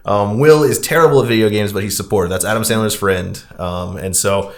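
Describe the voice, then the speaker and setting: A man's voice: lowish voice, fairly quick. A man hosting a casual movie discussion, recapping in a conversational way with frequent asides. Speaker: podcast host.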